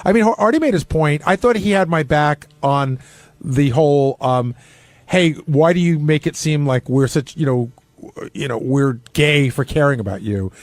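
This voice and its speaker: A man's voice: a little high, moderate pace, no room sound. A man co-hosting a radio show, talking casually and off the cuff as he explains himself. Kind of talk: casual unscripted radio talk.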